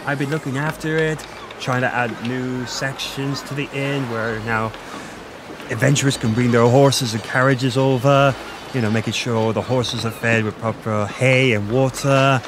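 A man's voice: medium pitch, fairly even intonation, normal speed, natural voice. A man playing a chatty Irish innkeeper, ambient noise behind. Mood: surprised, earnest. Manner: chatty, informal, confiding. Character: friendly, flirty male Irish innkeeper in a fantasy village. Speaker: voice actor in audio roleplay. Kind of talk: conversational romantic audio roleplay acting. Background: village ambience with distant chickens.

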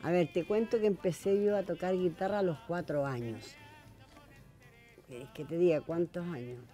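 A woman's voice: fairly low pitch, moderate pace, dark tone, soft background music. A woman in her seventies from southern Chile with a Chilean accent, chatting casually and plainly as an interview guest. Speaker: folk singer, interview guest. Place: small television studio.

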